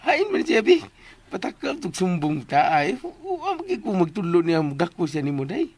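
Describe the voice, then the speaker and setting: An actor playing a cheeky, simple-minded, meddling household helper with a Cebuano accent and a raspy voice in a comic radio drama. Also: medium pitch, wildly swooping pitch, normal speed, no echo. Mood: insistent, pleading.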